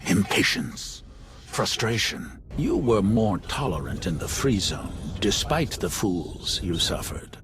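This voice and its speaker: An actor playing a solemn mystic elder in a video game, speaking formally and gravely as if administering a trial. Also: male voice, on the low side, big pitch swings, slow pace, a bit bright, a little hiss or hum, heavily acted. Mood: grave, probing. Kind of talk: dramatic video game acting.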